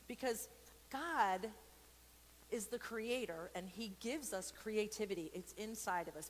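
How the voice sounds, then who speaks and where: A woman hosting a casual read-aloud for kids, playful and expressive, talking straight to viewers with informal, encouraging asides. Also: medium pitch, long reverb tail, a little hiss or hum, low-quality recording. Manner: playful, expressive, with silly sound effects and asides. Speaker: storyteller and church program host.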